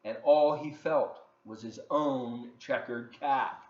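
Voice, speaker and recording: A man reading a children's story aloud from his living room, expressive and talking directly to the kids with asides. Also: mid-range voice, takes it slowly. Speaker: volunteer children's story reader. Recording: dull, roomy home recording with faint noise.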